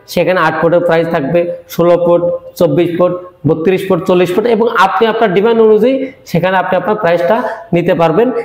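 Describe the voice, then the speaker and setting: Higher-pitched Bangladeshi man in an echoey room. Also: a little mellow. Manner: repetitive, explanatory, addressing viewers directly. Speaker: product presenter and salesman. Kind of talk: informal product demonstration to camera.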